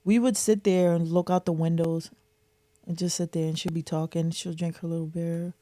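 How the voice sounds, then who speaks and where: A woman's voice: fairly low pitch, little pitch movement, normal speed, mellow. A middle-aged woman from the Boston area chatting candidly as an interview guest, often repeating herself for emphasis. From Boston area, Massachusetts. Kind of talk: casual personal reminiscence.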